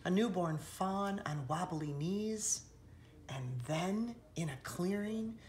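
A woman reading a picture book aloud expressively, with dramatic hushed passages and emphatic rises, recorded indoors by a camera. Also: fairly low pitch, takes it slowly, slight background noise. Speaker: children's author reading aloud. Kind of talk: expressive storybook read-aloud. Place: indoors, seated on a sofa in a room.

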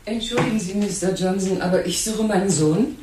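A woman's voice: mid-range voice, brisk, lightly acted. An actress playing a genteel, fretful older mother in a crime radio drama, speaking politely. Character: genteel, anxious older mother of a store boss. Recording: roomy echo with faint noise.

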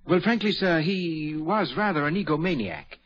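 An actor playing a courteous, proper valet in a 1940s radio drama. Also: male voice, a little high, animated, normal speed, a bit bright. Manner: courteous, formal. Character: polite male valet, discreet and proper. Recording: dull lo-fi vintage radio broadcast.

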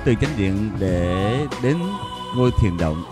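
A man with a very low voice reads a scripted documentary narration. He speaks in a measured, reverent tone, and loud music plays under his words. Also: lively intonation.

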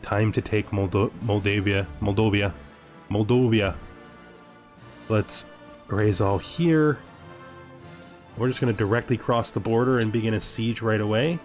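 A man's voice: fairly low pitch, steady tone, a little slow, long pauses, a little mellow. Man thinking aloud over game music. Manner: thinks aloud, talking to himself. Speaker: gaming video host. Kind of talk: casual strategy game playthrough commentary. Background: background music.

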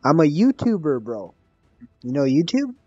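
A man from Canada, a gaming YouTuber, chatting casually and upbeat with an online opponent, full of slang and repeating himself slowly. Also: a little high, big pitch swings, darkish tone, husky, dry recording, highs cut off, faint music behind.

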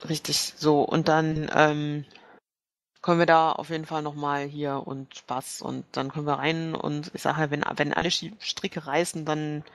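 Higher-voiced, even-toned man with creaky voice. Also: a little slow, dry recording. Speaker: player in a tabletop role-playing game. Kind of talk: casual planning talk during a game.

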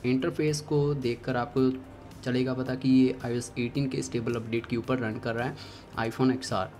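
A man's voice: medium pitch, little pitch movement, moderate pace, darkish tone, faint music behind. A man with an Indian accent hosting a tech tutorial, giving step-by-step instructions to viewers.

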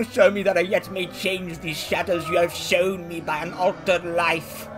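A man's voice: high voice, poor audio. A man playing a repentant old miser in a radio drama, theatrical and exclamatory in his pleas and vows.

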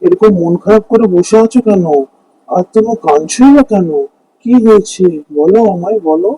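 A man plays a kindly poor farmer in a cartoon folk tale. His voice is high for a man, soft-edged and rough with huskiness. He talks earnestly and plaintively, in a dry, close sound. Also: moderate pace, no room sound. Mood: caring, reassuring. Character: kind, hard-working poor village farmer, male. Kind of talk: Bengali animated fairy-tale dialogue.

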